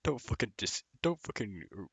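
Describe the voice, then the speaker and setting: A man's voice: on the low side, sing-song, normal speed, creaky, a crowd in the background. A young man co-hosting a casual podcast, teasing his co-host with swearing banter. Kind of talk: casual gaming chat.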